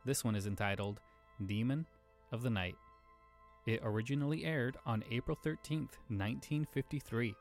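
A man's voice: mid-range voice, moderate pace, soft background music. A man hosting a podcast, giving a scripted introduction to an episode. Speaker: podcast host.

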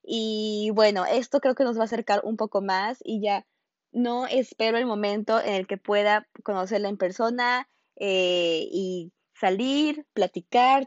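A woman's voice: mid-range voice, no room sound. Woman, podcast host, chatty, fillers and restarts, dull dry recording. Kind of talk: casual podcast chat.

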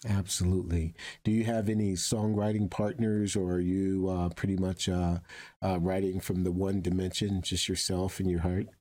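An older man with a low, dark, relaxed voice conducts a casual interview. He talks in long, effusive, winding sentences as he addresses his guest. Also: moderate pace.

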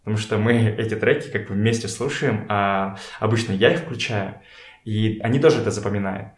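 A man with a fairly low voice chats as a guest in an echoey room at someone's home. His delivery is conversational and slangy, with repeated words as he builds his point. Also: fairly quick. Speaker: podcast guest. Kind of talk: casual conversational storytelling.

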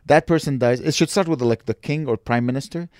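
A man's voice: mid-range voice, fairly quick, darkish tone, no echo, studio quality. A man co-hosting a comedy podcast in casual chat, riffing freely, swearing and acting out little quotes.

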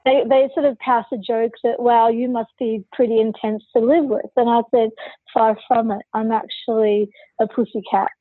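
A woman's voice: fairly high pitch, level tone, normal speed, slightly rough, no echo, narrow, tinny audio. A woman who is a podcast guest, telling her personal story candidly and forthrightly in an interview.

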